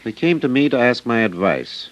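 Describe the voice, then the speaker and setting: An American man playing a cautious, principled small-town newspaper editor in an old-time radio drama, measured and plain-spoken. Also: medium pitch, sing-song, natural voice. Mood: earnest. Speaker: supporting radio actor.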